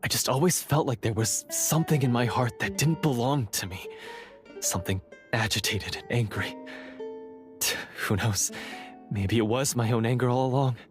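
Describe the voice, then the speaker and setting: A troubled heir to a line of monster hunters, played by a male actor in a video game. His mid-pitched voice is earnest, halting and dramatic, with clear music underneath. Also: close to an everyday voice. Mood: bitter, confused.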